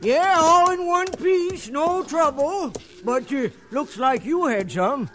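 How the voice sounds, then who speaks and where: A man's voice: extremely high pitch, expressive, slow, heavily acted. A man playing a laconic, easygoing cowhand in a folksy 1950s radio western manner. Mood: dry, curious. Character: laconic, easygoing cowhand, loyal trail partner.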